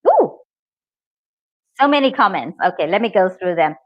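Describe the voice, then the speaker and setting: A woman whose native language is English, teaching a live online IELTS lesson, speaking slowly and clearly and cheering her students on. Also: medium pitch, very animated, dry recording, muffled recording. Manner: slow, clear, encouraging, reads out student comments.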